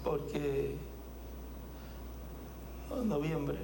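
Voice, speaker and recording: A male preacher, a native Spanish speaker, slightly hoarse, delivering a sermon in short phrases with pauses for an interpreter. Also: on the high side, noisy background. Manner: halting, pausing after short phrases.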